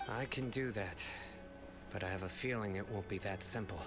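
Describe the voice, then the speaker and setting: An actor playing a stern, guarded male investigator in a game, speaking in a terse, deliberate way. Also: on the low side, music in the background, lightly acted.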